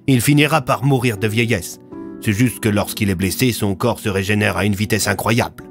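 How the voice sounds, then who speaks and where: A man's voice: lowish voice, normal speed, natural voice. Man playing a firm, earnest drama hero. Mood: explanatory. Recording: music under the voice.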